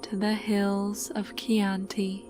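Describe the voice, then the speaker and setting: A woman narrating a scripted guided sleep meditation in a calm, soothing, unhurried manner. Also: mid-range voice, music in the background.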